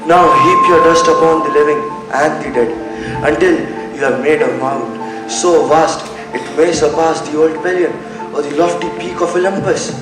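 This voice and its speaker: A man's voice: fairly high pitch, moderate pace, hardly any pauses, close to an everyday voice. A young man playing a grief-stricken, hot-headed brother, declaiming in a school stage Shakespeare play. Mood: anguished grief. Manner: declamatory, anguished. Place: indoor stage in a hall. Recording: distant mic, echoey hall, music behind.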